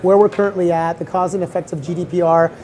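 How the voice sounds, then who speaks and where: A woman moderating a live panel discussion, talking quickly and casually with fillers. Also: fairly low pitch, fairly even intonation, dark tone.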